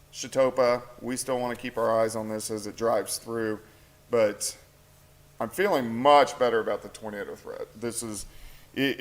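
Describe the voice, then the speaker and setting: A man's voice: fairly low pitch, vocal fry. A man doing live late-night weather coverage in a TV studio, talking casually and thinking aloud as he reads viewer comments, tired and hungry. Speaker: meteorologist. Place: TV station weather center. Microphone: shared mic.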